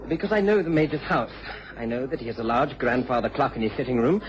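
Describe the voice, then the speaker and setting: A man's voice: medium pitch, fast, lightly acted. A man playing an emphatic, plain-spoken village neighbour in a radio drama. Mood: insistent. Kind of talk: BBC radio drama acting. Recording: dull old radio recording.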